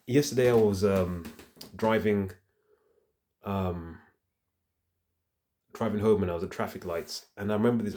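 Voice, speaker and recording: A man with a low, relaxed, dark-toned voice speaks off the cuff as a fitness vlogger. He thinks aloud in a halting, rambling way, circling back over phrases as he talks into his phone. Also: slight background noise. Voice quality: deep voice. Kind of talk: casual rambling vlog talk to the phone camera. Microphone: handheld phone.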